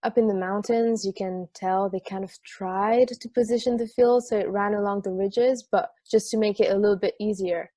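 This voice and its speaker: A man's voice: high-pitched, steady tone, moderate pace, hardly any pauses, warm tone. An easygoing sports fan who relishes the weird played by a man chats in a conversational table-read style. The sound is close and dry, with no room echo. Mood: enthusiastic, wry. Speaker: amateur actor in a remote table read. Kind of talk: conversational dramatic script reading.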